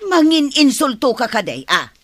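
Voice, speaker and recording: A woman's voice: sits high, big pitch swings, normal speed, a bit bright, no echo, heavily acted. A woman with an Ilocano accent playing a blunt, nosy older servant in a radio drama. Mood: indignant.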